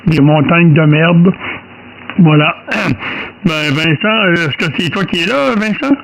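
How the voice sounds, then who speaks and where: Slow, higher-voiced Quebec man chatting on radio. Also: vocal fry, low-quality recording. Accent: Quebec French. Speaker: amateur radio operator leading a round-table contact. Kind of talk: casual radio chat. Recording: radio chatter with faint noise and clicks.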